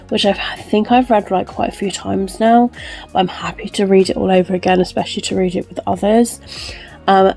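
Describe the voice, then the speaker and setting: A woman's voice: mid-range voice, gravelly, dark tone. A young woman chatting casually in a vlog, rambling with lots of ums and likes. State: wheezy, recovering from illness. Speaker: book vlogger.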